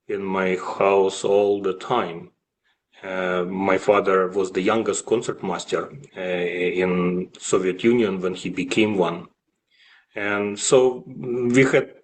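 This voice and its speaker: Male interview guest, native Russian speaker with Russian accent, slightly low voice, reflective and halting. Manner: halting, with frequent pauses and slight grammatical slips. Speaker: guest, classical violist and music professor.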